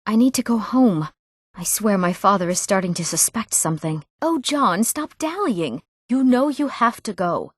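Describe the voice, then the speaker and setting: A woman's voice: medium pitch, expressive, normal speed, breathy edge, no echo. Spirited young townswoman, dry studio sound. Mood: teasing, affectionate. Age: young adult. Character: eager, proud, spirited young Nord townswoman. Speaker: video game voice actor. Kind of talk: scripted fantasy game dialogue.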